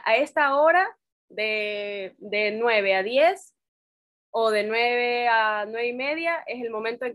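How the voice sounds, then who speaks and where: A woman with a fairly high voice co-presents an informal talk. She speaks in a reflective discussion style, recorded dry with very little room sound. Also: a bit bright, no echo.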